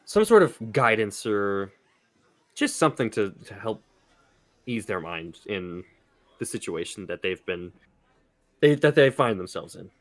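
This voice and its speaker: A man's voice: lowish voice, sing-song, unhurried, pauses often. A man describing his character's actions in an improvised tabletop roleplaying game, hesitant and searching for words.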